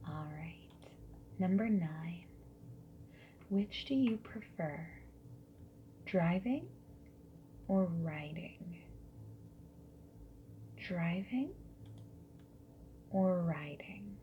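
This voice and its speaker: A woman doing a soft-spoken ASMR roleplay as a survey interviewer, gently and politely asking questions in a breathy voice that often drops into whispers. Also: fairly low pitch, lively intonation, extremely slow, pauses often. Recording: lo-fi muffled audio with noise. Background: mechanical keyboard typing.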